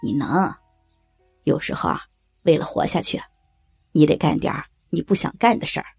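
A man's voice: a little high, moderate pace, gravelly, a little mellow, breathy edge, soft background music, heavily acted. An actor playing a coarse, leering rural man with a Northeastern Chinese accent, blunt and pressing, in an audio drama. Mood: cajoling, firm. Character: coarse, leering rural brother-in-law, domineering and cajoling.

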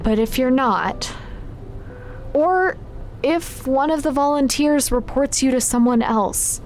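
A careful, questioning woman in a naturalistic audio drama. Her voice is a little high and very unhurried, gently skeptical, over faint music.

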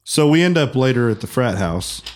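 A mid-pitched man chiming in with plot reminders. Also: big pitch swings, normal speed. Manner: chimes in with short interjections and plot reminders. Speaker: podcast co-host. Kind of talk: casual movie discussion.

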